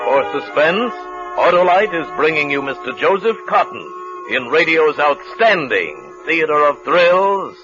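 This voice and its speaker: A male announcer introduces a vintage radio thriller. He is slow and dramatic, with wide swings in pitch. Music plays along on a muffled old recording. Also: animated.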